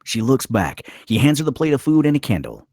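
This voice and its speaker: A man's voice: medium pitch, big pitch swings, a little fast, a little mellow, dry recording, studio quality. A man narrating stage directions from a script in a dramatic storytelling style.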